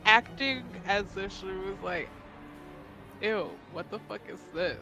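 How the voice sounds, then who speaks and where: A woman in casual group chat as a tabletop player, animated and joking, swearing freely. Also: mid-range voice, slow, faint music behind, rough recording.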